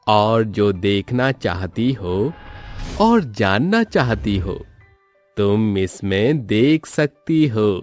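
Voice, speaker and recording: A man voicing a kindly magical tree from a storybook, speaking in a slow, drawn-out, solemn way. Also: on the low side, darkish tone, full character voice. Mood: solemn, helpful. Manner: slow, drawn-out, deliberate delivery.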